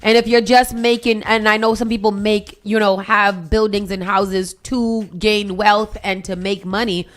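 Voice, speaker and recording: A woman's voice: medium pitch, flat delivery, a little slow, few pauses, soft background music. A woman co-hosting a morning radio talk show, chatting bluntly and casually with slang. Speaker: radio co-host.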